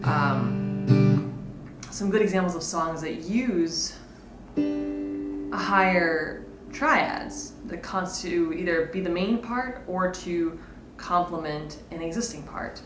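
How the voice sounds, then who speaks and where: Low-voiced woman teaching guitar, roomy sound. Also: slow pace. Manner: casual, explanatory, thinking aloud. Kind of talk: informal instructional talk with demonstrations. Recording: roomy echo, guitar played alongside. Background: electric or acoustic guitar playing.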